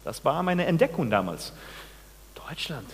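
A man in his forties from Peru, a pastor giving a sermon, speaking haltingly with many restarts and questions to the congregation. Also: medium pitch, big pitch swings, long reverb tail. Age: 40s. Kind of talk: sermon with personal testimony. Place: church.

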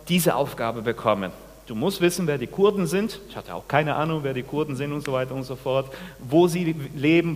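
A man in his forties from Peru, a pastor giving a sermon, speaking haltingly with many restarts and questions to the congregation. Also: fairly high pitch, big-room sound. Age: 40s. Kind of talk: sermon with personal testimony. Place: church.

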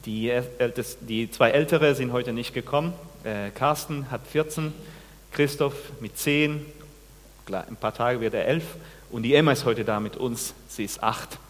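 A man in his forties from Peru, a pastor giving a sermon, speaking haltingly with many restarts and questions to the congregation. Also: medium pitch, big-room sound. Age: 40s. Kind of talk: sermon with personal testimony. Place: church.

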